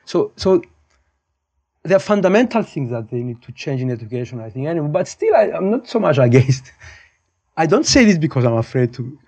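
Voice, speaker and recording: Higher-voiced Cypriot man in his fifties. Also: sing-song, fairly quick, darkish tone. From Cyprus. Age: 50s. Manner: opinionated, digressive, repeats and rephrases points. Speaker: podcast guest, high school physics teacher. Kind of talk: casual conversational interview.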